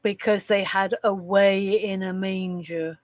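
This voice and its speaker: A woman's voice: mid-range voice, steady tone, a little slow, darkish tone, no echo. A woman with a slightly nasal voice from a cold, casually live-streaming. She tells corny jokes, giggles at them and swears now and then. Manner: giggles at her own jokes, swears casually, groans at bad puns.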